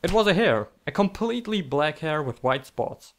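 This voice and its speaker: A man's voice: fairly high pitch, expressive, normal speed. A man telling comedic scripted stories in a theatrical, sarcastic, mock-dramatic manner. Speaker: storytelling video creator.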